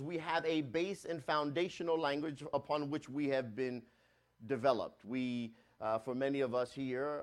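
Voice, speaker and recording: Man preaching with rising and falling force. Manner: swells louder on key points and drops low and soft on asides; repeats and restarts phrases while preaching. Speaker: pastor. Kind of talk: sermon.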